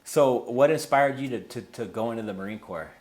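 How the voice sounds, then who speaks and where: A man hosting a casual interview, relaxed and brief, calling his guest brother and dude. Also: mid-range voice, fairly quick. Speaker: interview host.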